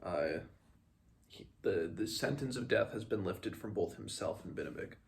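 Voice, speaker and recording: A man reading aloud from a fantasy novel and retelling it casually, haltingly paraphrasing between passages. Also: low-pitched, animated, gravelly, a little hiss or hum. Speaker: host of a book read-along.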